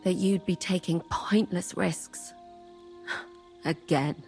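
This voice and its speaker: A woman's voice: lowish voice, fairly even intonation, takes it slowly, vocal fry, a little mellow, faint music behind. A woman playing a bitter, emotionally wounded young medieval woman in a game, weary and full of feeling. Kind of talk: dramatic game cutscene acting.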